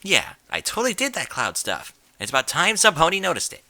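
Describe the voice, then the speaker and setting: Male narrator as sulky young female pony, sharp voice, blunt, evasive, dry close recording. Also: fairly high pitch, huge pitch swings, fairly quick, no room sound, voice pushed up, full character voice. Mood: boastful. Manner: blunt, slangy, terse. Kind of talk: audiobook character voice.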